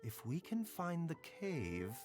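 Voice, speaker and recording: A man's voice: a little high, wildly swooping pitch, slow pace, a little mellow, soft background music, full character voice. A man voicing a courteous, composed physician in a video game, with polite, refined and formal speech.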